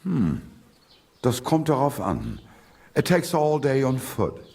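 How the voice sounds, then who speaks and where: Gravelly, grumbling German trader. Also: male voice, medium pitch, huge pitch swings, slow pace, darkish tone, heavily acted. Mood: matter-of-fact. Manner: grumbling, mixing German phrases in. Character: grumbling, world-weary German-speaking village trader. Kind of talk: video game character acting.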